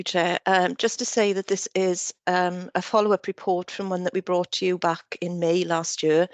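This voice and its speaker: A woman council officer speaks in a lowish voice, answering questions at a committee meeting. She hesitates often and restarts her sentences. The sound is dry and close. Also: no room sound. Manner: hesitant, many restarts and fillers.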